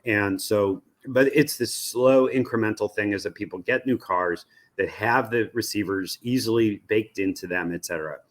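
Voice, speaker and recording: Low-voiced American man explaining. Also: moderate pace. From United States. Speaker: media research executive, interviewee. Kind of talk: conversational expert interview.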